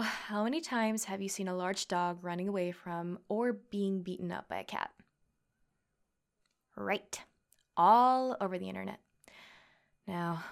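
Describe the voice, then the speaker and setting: Girl doing a cocky mma tsundere classmate for asmr rp, bossy n teasing, medium pitch, tough protector type. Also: gravelly. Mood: annoyed, bossy. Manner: brash, taunting, bossy, teasing. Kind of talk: ASMR girlfriend roleplay acting.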